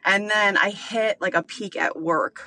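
A woman's voice: medium pitch, a little slow, bright, forceful tone. A woman in her late thirties hosting a podcast, talking through a casual solo monologue in a candid, rambling way full of filler words. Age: late 30s. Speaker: podcast host.

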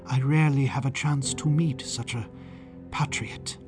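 A man voicing a sinister prince who murmurs softly and quietly. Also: medium pitch, fairly even intonation, unhurried, over music, lightly acted. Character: sinister soft-spoken male prince.

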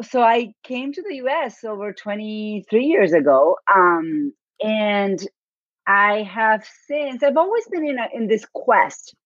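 A woman's voice: medium pitch, no room sound. A woman in her forties with an Argentine accent, a guest on an interview show, telling her story with many fillers and small laughs. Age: 40s. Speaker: guest, business coach. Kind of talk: interview conversation. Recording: dry, dull lo-fi audio.

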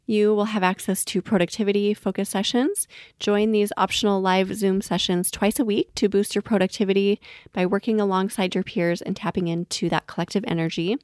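A woman's voice: medium pitch, fairly even intonation, fairly quick, a little mellow, no room sound, studio quality. A woman working as a podcast host, moving between scripted reads and casual interview talk. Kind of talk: scripted promo and intro reading, casual interviewing.

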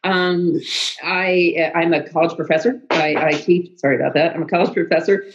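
A hoarse woman, a college professor, talks as a guest and tells stories in a halting way, with fillers and restarts. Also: on the low side, steady tone, lo-fi sound. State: hoarse, losing her voice. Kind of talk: casual interview storytelling.

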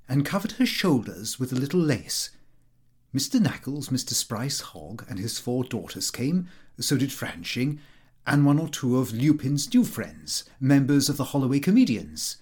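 A man's voice: medium pitch, a little mellow, breathy edge. A man reading a comic diary as scripted narration, in a prim, fussy, precise manner. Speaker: audiobook reader.

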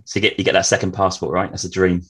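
A man hosting a podcast, chatting casually and asking relaxed follow-up questions. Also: sits low, racing, slightly rough. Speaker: podcast host. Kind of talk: casual interview chat.

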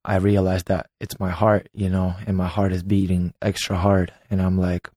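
A man in his late twenties from Ukraine, telling his own story as a former competitive swimmer in a slow, halting way. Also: sits low, flat delivery, warm tone, slightly rough, no echo, studio quality. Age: late 20s. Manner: unhurried, halting, recounting a memory. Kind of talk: personal storytelling.

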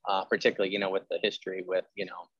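A man's voice: sits low, level tone, racing, a bit bright, slightly rough, no echo, muffled recording. A man hosting a casual interview about genre fiction, talking in a rambling way full of filler phrases. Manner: rambling, peppered with "like" and "you know". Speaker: interviewer and host; history teacher.

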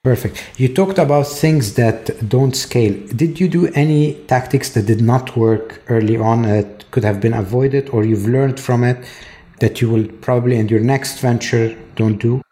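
A male podcast host asks his guest long, open questions. His voice sits at a middle pitch and sounds mellow and dark. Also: normal speed. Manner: brief acknowledgments, then long questions. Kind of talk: interview questions.

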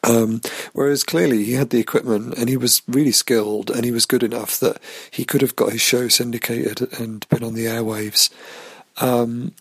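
Croaky, rambling man. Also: mid-range voice, steady tone, dry recording. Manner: rambling, halting, many ums and restarts. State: hoarse, croaky voice. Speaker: caller leaving a voicemail. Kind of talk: casual voicemail tribute.

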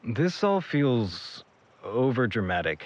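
A disapproving young man played by a male actor in an audio drama, dry and unimpressed. He talks slowly with swooping intonation, in slightly muffled sound. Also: mid-range voice, very animated.

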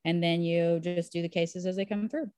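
A woman doctor guesting on a podcast, with a somewhat low voice. She sounds upbeat and enthusiastic as she talks. The recording is dry, with no room sound. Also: fairly even intonation, moderate pace, a little mellow, clear, resonant tone, no echo. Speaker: physician guest. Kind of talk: casual interview talk. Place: home in Nashville.

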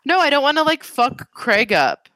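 A woman's voice: sits high, very animated, moderate pace, bright, forceful tone, no room sound. A congested woman co-hosting a podcast from home over a gaming headset, chatting in a playful, sarcastic way. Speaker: podcast co-host. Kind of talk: casual chat. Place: remote recording from home.

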